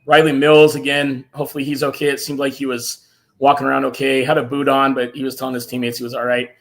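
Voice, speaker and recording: A man hosting a casual fan live stream, excited and a little hoarse from cheering, reading out viewer comments. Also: medium pitch, fast talker. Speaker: fan show host.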